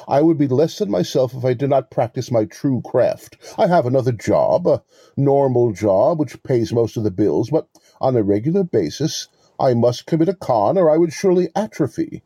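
Smug, pompous male swindler for an audio play, played by a man: very dark, husky tone, swooping intonation, wordy mock courtesy. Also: medium pitch, expressive, normal speed, dry recording, clean studio sound. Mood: smug, self-assured. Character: smug, verbose male con artist posing as police lieutenant. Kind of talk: two-person audio play acting.

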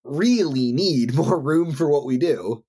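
A woman's voice: deep voice, expressive, slow pace, warm tone, slightly rough, full character voice. An actress playing a chatty, eager, apologetic woman who is the new colleague in an audio romantic comedy. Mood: chatty, awkward. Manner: chatty, polite, eager to please.

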